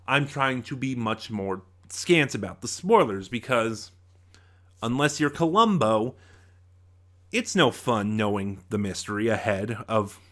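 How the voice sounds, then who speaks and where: A man reviewing in a casual, unscripted way as host, halting at times, trailing off and circling back mid-thought. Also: medium pitch, fairly bright tone.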